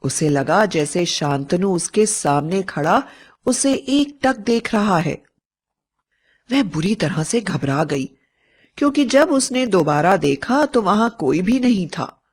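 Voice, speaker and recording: A woman's voice: on the low side, sing-song, takes it slowly, a little mellow, husky. A woman narrating a scripted story as a storyteller. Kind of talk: scripted fairy-tale narration.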